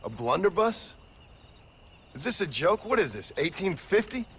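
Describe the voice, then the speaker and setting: An actor playing a gruff, sceptical western gunslinger in a video game, terse, sardonic and deadpan. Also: male voice, sits high, normal speed. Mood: irritated. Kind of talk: video game cutscene acting. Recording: clear outdoor background noise.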